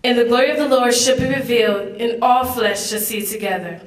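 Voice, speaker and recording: A young woman with a fairly high voice is heard from some distance in a strongly reverberant room. She gives a dramatic reading of a speech as a student reader. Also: fairly quick. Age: young adult. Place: large echoey room at a public event. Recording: echoey hall, far from the mic.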